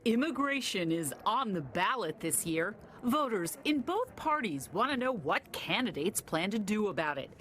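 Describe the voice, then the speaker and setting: A woman reporting for local TV news, delivering her story in a brisk, conversational way. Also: medium pitch, sing-song, fairly bright tone, a little hiss or hum.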